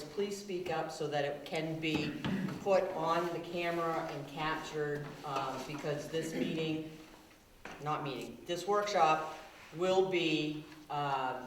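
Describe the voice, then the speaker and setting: A low-pitched woman speaks loudly and projects with confidence as she leads a town workshop. She is recorded from well off the mic, in a very echoey meeting room with faint background noise. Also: far from the mic. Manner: loud, projecting, self-assured. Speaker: town manager leading a workshop. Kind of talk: public meeting talk.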